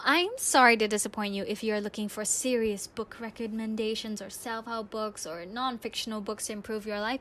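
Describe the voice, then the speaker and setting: A woman's voice: on the high side, fairly bright tone, a little hiss or hum. A young adult woman hosting a podcast, delivering scripted radio-style talk and recommendations. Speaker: podcast host.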